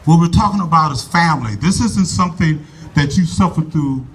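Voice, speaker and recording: A man giving an earnest, folksy address to a crowd at an outdoor rally in a park, speaking loudly into a microphone and urging people to come closer. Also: a little high, brisk, slightly rough. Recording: voice from far off the mic, faint background noise.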